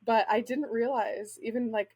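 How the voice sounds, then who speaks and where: Chatty, self-deprecating woman with slightly husky voice. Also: a little high, fairly even intonation, moderate pace, a little mellow. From Spain. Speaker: guest author and literary agent. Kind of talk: casual interview chat.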